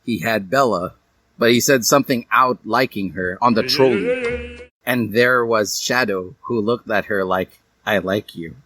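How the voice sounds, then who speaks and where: A man's voice: mid-range voice, very animated, a little slow, bright, forceful tone, poor audio. A man narrating fan fiction as a comic dramatic reading, with a theatrical, mock-serious delivery.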